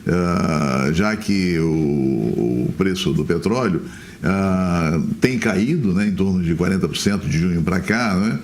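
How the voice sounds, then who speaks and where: A Brazilian man answering in an interview as an expert guest, with halting phrasing and frequent tag questions. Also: low-pitched, expressive, a little mellow. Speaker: guest economist and university professor.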